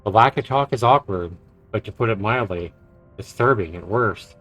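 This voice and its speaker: A man's voice: lowish voice, moderate pace, fairly bright tone. A man who streams games, reading visual novel narration aloud and reacting to it. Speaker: game streamer. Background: game music.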